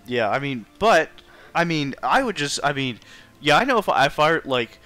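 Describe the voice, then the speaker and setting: A male streamer with a bright, forceful voice chats casually. He talks loosely and haltingly, with plenty of slang. Music plays faintly in the background. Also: fairly high pitch, big pitch swings. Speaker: game streamer. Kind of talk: casual chat.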